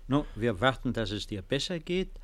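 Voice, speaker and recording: An actor playing a worried, soft-spoken refugee in a 1950s German radio play, restrained and polite. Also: male voice, medium pitch, very animated, moderate pace, lightly acted. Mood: soothing, evasive. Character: worried, soft-spoken male refugee visiting his injured brother.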